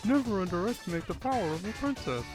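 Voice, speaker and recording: A man's voice: high voice, expressive, fast. A man voicing a confident, prim young animal princess in a cartoon-style comic dub. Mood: wry. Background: background music.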